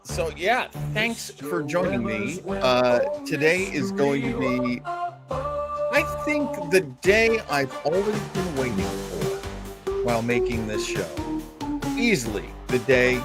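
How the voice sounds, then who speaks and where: Slow, animated male host. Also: medium pitch, over loud music. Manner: rambling, self-interrupting, easily distracted; jokey, self-deprecating. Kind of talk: casual live show chat.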